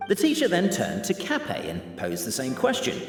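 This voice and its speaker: A man's voice: medium pitch, wildly swooping pitch, moderate pace. A male actor playing a wry, dramatic storybook narrator in a video game, with echoey reverb and music behind. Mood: wry storytelling.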